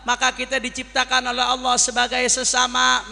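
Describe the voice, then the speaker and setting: A man's voice: very high voice, monotone, brisk, creaky, sharp tone, in a large hall. A man preaching a sermon to a congregation as an impassioned orator, using repetition and rhetorical questions. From Jakarta, Indonesia. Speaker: Islamic preacher.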